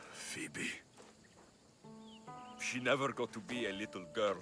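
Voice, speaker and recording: A man's voice: on the low side, takes it slowly, pushed and bright, soft background music. A man acting a battle-worn, earnest Greek mercenary in a video game, plain-spoken and terse. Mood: grieving.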